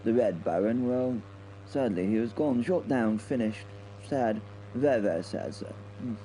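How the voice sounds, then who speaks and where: A man's voice: mid-range voice, measured pace, warm tone, slight background noise, lightly acted, low-quality recording. A man playing a flustered British wing commander, telling his story hesitantly, stammering and repeating himself. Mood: mournful, halting. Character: flustered, stammering male wing commander pilot recounting a mission. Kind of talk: dramatic first-person narration in audio drama.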